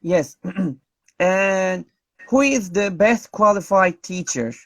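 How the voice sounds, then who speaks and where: A man with a Turkish accent hosting an online interview, polite and soft-spoken. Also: high voice, slow pace, dry recording. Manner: polite, soft-spoken, frequent laughs and thanks. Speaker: interviewer host. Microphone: video call.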